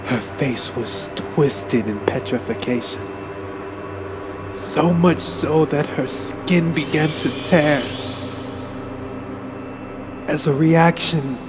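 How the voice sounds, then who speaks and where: Slow-paced man reading horror over music. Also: fairly high pitch. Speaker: narrator. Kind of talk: dramatic horror story reading. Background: background music.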